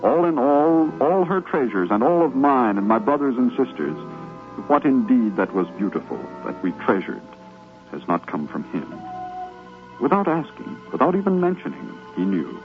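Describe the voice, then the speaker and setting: An actor playing a devoted grandson narrating fond memories in a reverent, dramatic manner for a 1950s radio drama. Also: male voice, mid-range voice, very animated, normal speed. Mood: reverent, tender. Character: devoted grown grandchild fondly remembering grandfather. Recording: muffled 1950s radio recording, music behind. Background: music.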